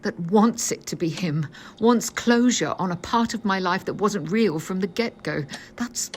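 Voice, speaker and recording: Actress as distraught middle-aged wife, medium pitch, halting, emotional, audio drama, faint background ambience. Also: female voice, a little hiss or hum, close to an everyday voice. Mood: anguished. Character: anguished, conflicted middle-aged wife facing possible widowhood.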